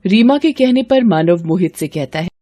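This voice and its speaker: A woman's voice: fairly low pitch, lively intonation, moderate pace, dark tone. A woman storyteller reading a scripted moral story as narration.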